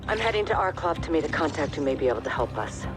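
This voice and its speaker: A woman's voice: low-pitched, very animated, fast talker. A woman acting a cool, concise intelligence officer in a cinematic video game. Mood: businesslike. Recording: dramatic game music behind.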